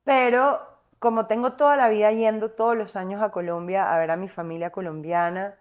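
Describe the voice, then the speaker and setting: A woman's voice: medium pitch, fairly even intonation, fairly quick. A young woman from Caracas with a Venezuelan accent, a singer talking reflectively as an interview guest, with fillers and restarts. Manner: thoughtful, winding, many fillers and restarts.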